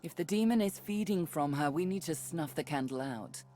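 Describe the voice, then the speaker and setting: An actress playing a sardonic, guarded woman guide in a video game, measured and commanding. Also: sits low, animated, warm tone, soft background music. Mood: stern.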